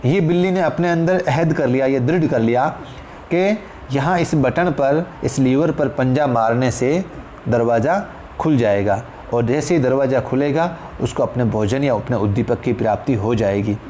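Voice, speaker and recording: A man's voice: mid-range voice, normal speed, dark tone. Male Indian teacher with noisy background. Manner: repeats phrases for emphasis, addresses listeners as friends. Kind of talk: exam-prep lecture, explanatory. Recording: clear background noise.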